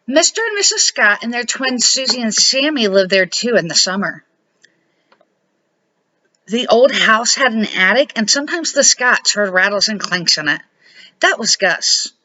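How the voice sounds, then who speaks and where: Bright-voiced grandmother reading a storybook. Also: a little high, very animated, measured pace. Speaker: grandmother reading to children, series host. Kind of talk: read-aloud children's storybook narration. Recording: dull lo-fi home recording.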